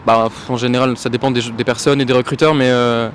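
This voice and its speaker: A man's voice: mid-range voice, little pitch movement, fast talker, slight background noise. A man from France answering a street interviewer outdoors, hesitant and searching for words.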